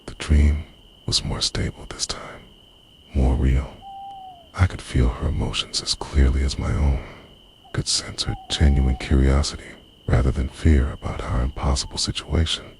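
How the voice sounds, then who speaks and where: A man narrates a scripted romantic ASMR roleplay in a hushed, breathy, confessional voice that often slips into a whisper. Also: rumbling low, fairly even intonation, moderate pace.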